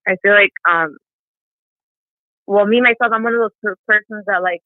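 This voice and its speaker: A woman's voice: mid-range voice, moderate pace, dry recording. A young woman chatting casually as an interview guest over a phone call, peppering her talk with "like" and "you know.". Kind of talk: casual chat. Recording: tinny phone line, dry.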